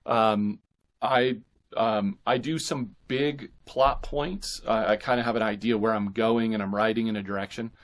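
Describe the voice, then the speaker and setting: A man with a fairly low voice talks as a guest author in a casual interview. His phrasing is thoughtful and halting, feeling its way through each answer. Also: fairly even intonation.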